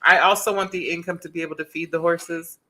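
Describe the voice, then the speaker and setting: A woman's voice: fairly low pitch, steady tone, quick pace, sharp tone. A woman co-hosting a casual chat with her husband, playful and often laughing. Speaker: co-host.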